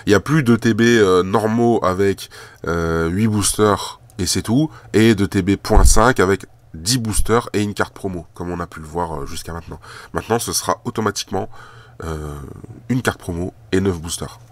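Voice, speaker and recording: A man with a cold chats casually over a table of trading cards, his voice a little low. He rambles, repeats himself and fills pauses with hesitations. The sound is dry and close, with no room echo. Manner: rambling, many fillers and repeated words. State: has a cold. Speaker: card collector and shop owner. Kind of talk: casual video chat while handling cards.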